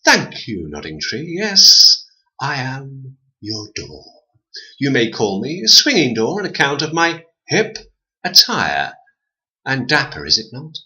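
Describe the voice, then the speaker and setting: A man's voice: medium pitch, wildly swooping pitch, slow, pushed and bright, voice pushed up, exaggerated voice. A man voicing Swinging Door, a pompous, foppish talking door with a posh, affected manner. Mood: haughty. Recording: dull lo-fi recording.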